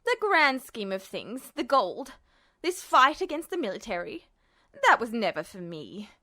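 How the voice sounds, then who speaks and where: A woman's voice: a little high, very animated, a little slow, sharp tone, exaggerated voice. A woman voicing a bitter, unhinged male villain, smug and sneering, in an audiobook story. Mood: smug menace. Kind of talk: audiobook-style character acting.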